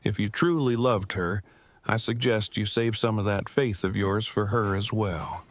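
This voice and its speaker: Man acting a stilted, low-voiced formal survivor. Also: fairly even intonation, no room sound, natural voice. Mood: earnest. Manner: stilted, wordy, formal deliberate diction. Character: verbose, formal, earnest man in love, post-apocalyptic survivor. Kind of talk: audio drama acting.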